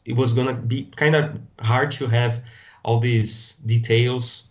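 A man from Brazil with a Brazilian Portuguese accent, a Portuguese speaker being interviewed on a podcast, often restarting his sentences. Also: medium pitch. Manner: halting, restarts often, relaxed. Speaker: guest artist. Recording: slightly dull, lo-fi sound.

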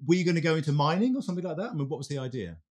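A man in his sixties hosting a wine podcast, asking brisk, chatty questions. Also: a little high, big pitch swings, darkish tone. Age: 60s. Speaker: podcast host, interviewer. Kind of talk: podcast interview.

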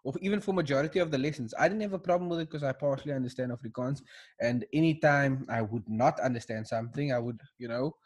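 Animated young man. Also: medium pitch, fast, husky. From South Africa. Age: young adult. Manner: animated, slangy, laughs often, restarts. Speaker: podcast co-host. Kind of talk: casual chat, reading a list aloud.

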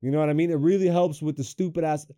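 A young man hosting a comedy podcast in a studio, chatting loosely with lots of swearing, swinging between loud hype and low mumbles. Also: steady tone, rapid-fire, smooth, no room sound. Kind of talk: casual podcast chat.

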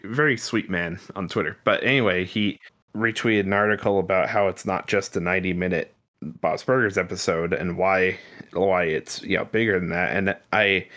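A man co-hosting a podcast, chatting casually about films in a halting way with trailing asides. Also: low-pitched, fairly bright tone. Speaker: podcast co-host. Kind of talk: casual film chat.

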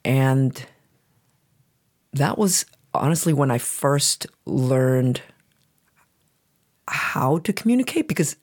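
A middle-aged woman whose first language is Chinese, thinking aloud in a casual conversation with halting restarts. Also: very deep voice, lively intonation. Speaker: client receiving a reading.

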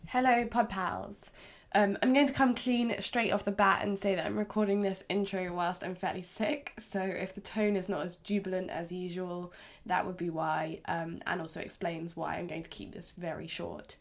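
A woman's voice: on the low side, steady tone, normal speed. A woman hosting a podcast. She opens the show and interviews her guest in a friendly, conversational way. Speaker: podcast host.

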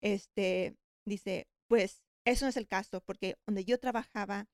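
A woman's voice: medium pitch, fairly even intonation, a little slow, a little mellow, no echo, studio quality. A woman hosting a podcast, reacting eagerly and telling stories while mixing Spanish and English. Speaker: host. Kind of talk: casual bilingual conversation.

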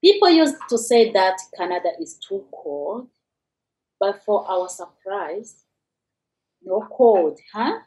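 A woman with a Rwandan accent telling a personal story for radio, with dramatic pauses and rhetorical questions. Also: mid-range voice, big pitch swings, a little mellow, poor audio.

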